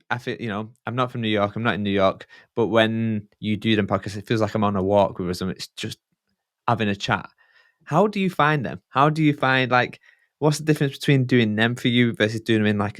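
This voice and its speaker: A man's voice: lowish voice, a bit bright, no echo, studio quality. A man chatting casually as a podcast guest, enthusiastic and loose, with the occasional swear word. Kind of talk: casual interview chat.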